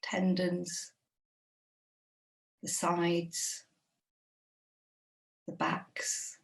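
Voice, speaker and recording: A woman guiding a body scan meditation, speaking slowly and gently with long, unhurried pauses. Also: on the low side, monotone, gravelly, far from the mic. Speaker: meditation guide.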